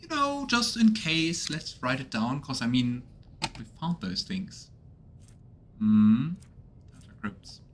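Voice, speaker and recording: A man's voice: mid-range voice, sing-song, slow, pauses often, a little hiss or hum. A man streaming a video game, musing aloud and reading out in-game notes in casual commentary. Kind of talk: casual let's play commentary.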